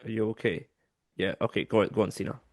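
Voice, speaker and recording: A man hosting a podcast panel, moderating in a casual, hurried way. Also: lowish voice, fairly even intonation, dry recording, clean studio sound.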